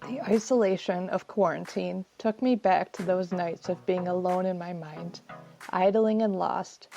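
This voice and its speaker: A woman's voice: on the low side, gravelly, warm tone. A woman reading her own personal essay as scripted narration, in a dull lo-fi recording.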